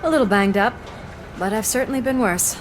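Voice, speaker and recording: A woman's voice: fairly high pitch, brisk, a little hiss or hum, close to an everyday voice. An actress playing a dedicated policewoman in a video game, earnest and direct. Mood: relieved. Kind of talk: video game cutscene acting.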